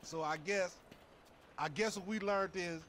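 An actor playing a brash, sarcastic young American street gangster in a video game, taunting and slangy with mock politeness. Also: male voice, sits high, measured pace. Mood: needling, joking. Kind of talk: video game cutscene acting. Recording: game street ambience, traffic noise behind.

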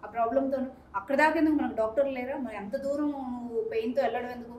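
A fairly high-voiced woman in her thirties hosts an interview. She sounds some way from the mic in a roomy space, with faint noise behind her. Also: quick pace, a little mellow, recorded from a distance. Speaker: interviewer, host. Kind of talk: studio interview questioning.

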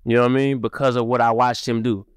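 A man from Cleveland, raised partly in Mississippi, talking casually as a music-marketer guest on a podcast, with lots of fillers, into a studio boom mic. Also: fast, smooth, no echo. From Cleveland, Ohio, raised partly in Mississippi. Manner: animated, repetitive fillers. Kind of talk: casual interview talk. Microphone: studio mic on boom arm.

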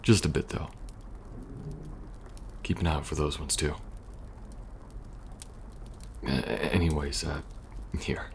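Man acting as weary young male survivor, extremely low pitch, soft reassuring ASMR roleplay, protective, hesitant, faint background ambience. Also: steady tone, a little slow, vocal fry, slight background noise, heavily acted. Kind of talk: ASMR audio roleplay, speaking softly to listener.

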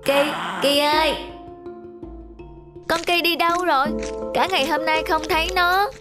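A plucky little cartoon boy, high-pitched and childlike. He is direct and possessive, speaking out plainly over clear background music. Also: steady tone, a little slow. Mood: worried, affectionate. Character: plucky, possessive young boy devoted to his dog. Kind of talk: Vietnamese cartoon dubbing.

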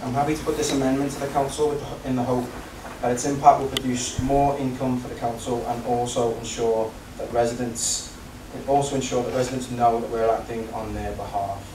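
A man's voice: mid-range voice, little pitch movement, a little fast, hardly any pauses. A man serving as councillor, making a formal prepared debate speech in a large council chamber. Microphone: recorded from the back of the room. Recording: distant, echoey, noisy council chamber recording.

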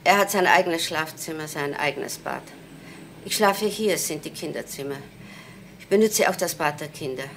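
Radio drama: guarded mother under questioning, lowish voice, careful terse answers, faint noise behind. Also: moderate pace, a bit bright. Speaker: actress in a radio crime play. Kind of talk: German radio crime drama acting.

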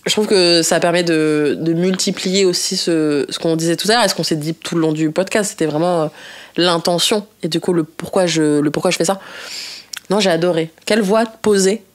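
A woman's voice: fairly low pitch, fairly quick. Frank, slangy young woman chatting. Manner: frank, slangy, sprinkles in English phrases. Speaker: podcast guest. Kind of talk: casual chat. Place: small indoor podcast studio. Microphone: podcast microphone on a desk stand.

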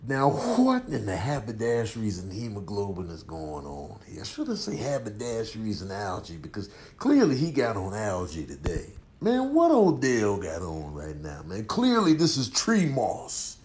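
A man's voice: fairly low pitch, wildly swooping pitch, moderate pace, darkish tone, a little hiss or hum. A man giving exaggerated, incredulous comedic roasting commentary.

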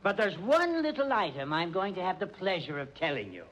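High-voiced, sneering radio-drama murderer. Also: male voice, sing-song, a little fast, fairly bright tone, a little hiss or hum. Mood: gloating, smug. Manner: sardonic, mock-polite, theatrical. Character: sneering, smug, cold-blooded male murderer. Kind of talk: 1940s radio crime drama acting. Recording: dull old radio recording.

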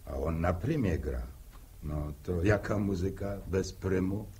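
An actor playing an easygoing older village farmer in an old radio drama, with a husky voice and rustic phrasing. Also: male voice, sits low, warm tone, heavily acted. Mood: relaxed, folksy. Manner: unhurried, rustic folksy phrasing. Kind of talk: 1960s radio drama acting.

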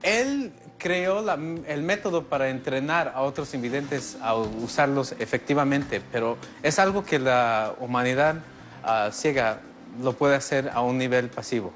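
A man, a TV guest who explains things calmly and jokes back in a casual studio interview. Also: medium pitch, unhurried, soft background music. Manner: easygoing, jokes back, describes objects step by step. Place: large TV studio set. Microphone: lapel. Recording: faint studio noise.

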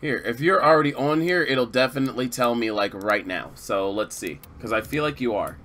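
A man streaming a video game who chats casually with viewers in a relaxed, rambling way, his voice getting weak. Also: mid-range voice, fairly quick. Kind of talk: casual live-stream chat, reading viewer chat.

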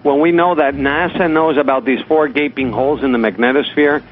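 A man's voice: fairly high pitch, fairly even intonation, a little fast, a little hiss or hum. Hesitant man on a tinny phone line. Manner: hesitant, many fillers. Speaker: radio panel guest. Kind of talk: talk radio discussion.

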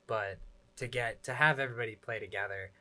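A man's voice: mid-range voice, a little fast, sharp tone. A man in his twenties from Pennsylvania talks as a musician guest on a studio lounge set. He is easygoing and encouraging and uses many casual fillers as he speaks into a desk mic. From Pennsylvania, USA. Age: 20s. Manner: easygoing, encouraging, says "like" a lot. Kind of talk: casual chat. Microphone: desk mic on stand.

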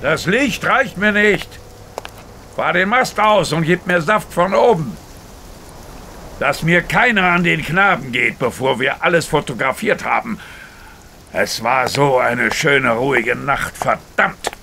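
An actor playing a bossy, irritated police investigator in a radio crime drama, curt and commanding. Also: male voice, a little high, slow, vocal fry, bright, forceful tone, a little hiss or hum, full character voice. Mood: bossy, annoyed.

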